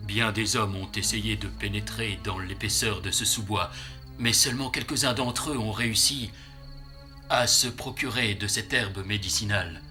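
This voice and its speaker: A man's voice: lowish voice, moderate pace, music in the background, close to an everyday voice. A man voicing a grave, caring doctor in a children's cartoon, explaining things solemnly and with measure. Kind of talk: dubbed children's cartoon acting.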